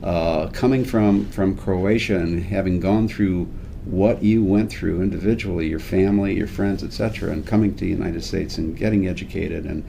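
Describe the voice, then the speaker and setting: Genial male host, low mellow voice, talky with many restarts. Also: fairly even intonation, normal speed, gravelly, a little hiss or hum. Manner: chatty, repeats and restarts words. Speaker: interview host. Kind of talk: casual interview.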